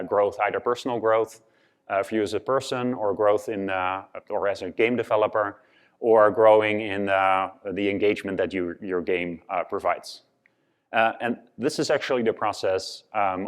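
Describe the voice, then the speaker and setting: Dutch-accented man giving a conference talk. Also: deep voice. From Netherlands. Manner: halting, many fillers and restarts. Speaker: conference speaker. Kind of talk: conference presentation.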